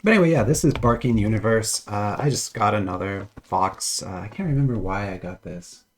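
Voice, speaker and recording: A man's voice: fairly low pitch, animated, a little fast. Rambling man with a head cold. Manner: rambling, hesitant, frequent restarts and chuckles. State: has a cold, voice a bit off. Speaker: trading card unboxing vlogger. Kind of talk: casual unboxing chat. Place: at home.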